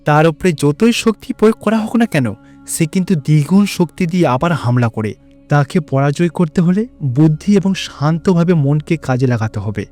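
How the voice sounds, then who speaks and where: A man plays a stately father god in a devotional cartoon. His voice is mellow and a little high for a man, with a measured, solemn way of instructing his son. Music plays softly behind him. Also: lively intonation, lightly acted. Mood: solemn, instructive.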